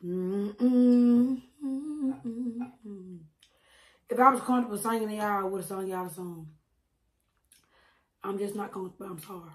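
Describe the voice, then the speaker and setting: A woman chatting casually while eating on camera, with preachy asides, frequent laughs and a slightly hoarse voice, recorded on a close mic at home. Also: mid-range voice, unhurried, long pauses, roomy sound, rough recording. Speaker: mukbang creator. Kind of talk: casual eating-show chat.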